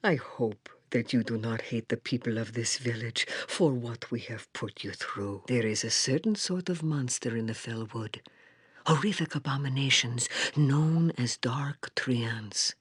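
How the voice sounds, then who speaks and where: An actress playing a grave, kindly old female village elder in a fantasy video game, speaking solemnly and courteously in a husky, breathy, halting voice. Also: rumbling low, no room sound, clean studio sound, exaggerated voice. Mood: grave warning.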